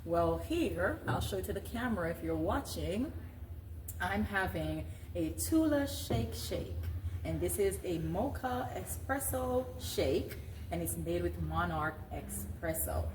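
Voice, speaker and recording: A woman's voice: fairly low pitch, lively intonation, measured pace, darkish tone. Echoey, distant-miked woman talk show host. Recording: roomy echo, distant mic, clear background noise.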